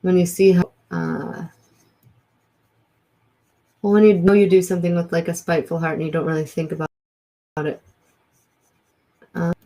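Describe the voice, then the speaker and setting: A woman's voice: lowish voice, flat delivery, normal speed, long pauses, warm tone, poor audio. A woman co-hosting a casual livestream, chatting and reading viewer comments aloud. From Wisconsin. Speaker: co-host of a livestream.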